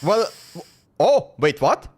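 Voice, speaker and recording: A man's voice: sits high, very animated, takes it slowly, darkish tone. A man streaming a game with casual, playful live commentary, often repeating his phrases.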